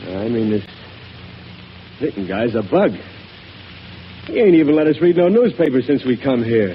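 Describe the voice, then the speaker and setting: A man's voice: mid-range voice, sing-song, moderate pace, close to an everyday voice. An actor playing an uneasy male henchman in a 1930s radio drama, with slangy working-class talk. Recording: dull old radio broadcast with hiss.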